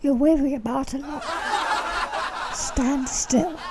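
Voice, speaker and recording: A woman's voice: sits high, steady tone, slow pace, background noise. A woman playing a haughty, tipsy middle-aged saleswoman in a comic radio play, bossy and indignant.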